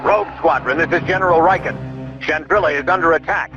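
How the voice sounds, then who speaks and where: An actor playing an authoritative rebel general giving formal, measured mission briefings in a video game. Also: male voice, on the high side, natural voice. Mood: grave, authoritative. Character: authoritative, businesslike male rebel general briefing his squadron. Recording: muffled lo-fi game audio over music.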